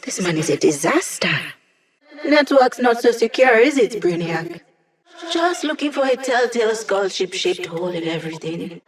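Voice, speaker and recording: A woman's voice: mid-range voice, big pitch swings, moderate pace, natural voice. An actress playing a young female hacker and tech ally in a video game, upbeat, casual and playfully sardonic. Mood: amused, snarky.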